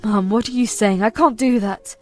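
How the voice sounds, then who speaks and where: A woman's voice: medium pitch, moderate pace, mellow, soft background music, close to an everyday voice. A woman voicing a torn adult son who answers his mother in a firm, earnest, weary way.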